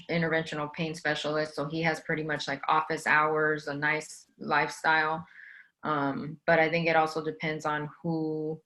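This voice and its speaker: A woman's voice: deep voice, bright, forceful tone, rough recording. A woman OB-GYN physician chatting casually on a conference panel, with frequent restarts and fillers. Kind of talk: panel conversation.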